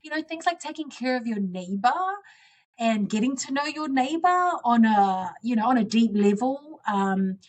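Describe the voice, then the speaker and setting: A woman's voice: on the high side, expressive, normal speed. A woman in her forties, originally from Samoa, answering interview questions earnestly and reflectively as a guest politician.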